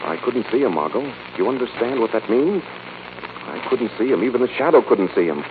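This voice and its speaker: An actor playing a commanding, determined detective with a secret identity in a 1940s radio drama, with a deep voice. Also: male voice, sing-song, a little fast, lightly acted. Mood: shaken. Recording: muffled 1940s radio broadcast with hiss.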